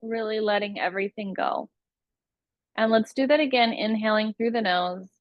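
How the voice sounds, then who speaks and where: A woman teaching a guided yoga nidra meditation, giving soothing, unhurried instructions with long pauses between phrases. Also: mid-range voice, dry recording, highs cut off.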